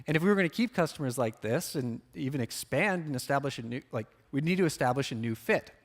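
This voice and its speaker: A man's voice: mid-range voice, animated, fast talker. A man giving a business conference talk as a product marketer, speaking informally with emphasis on key words and casual asides.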